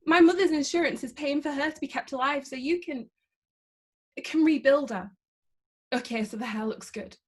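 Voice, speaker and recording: A wounded, defensive young woman played by an actress in a drama table read. She has a high voice and a curt, indignant way of speaking. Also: normal speed, close to an everyday voice. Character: defensive, wounded, sharp-tongued young granddaughter. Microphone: video call.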